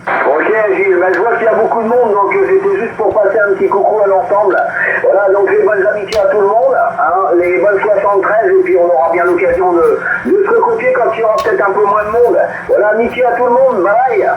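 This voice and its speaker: A man's voice: high-pitched, fairly even intonation, brisk. A man with an accent from France, heard over a CB radio transmission, making friendly contact chat as an operator calling in. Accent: French from France. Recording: noisy, muffled, echoey CB radio reception.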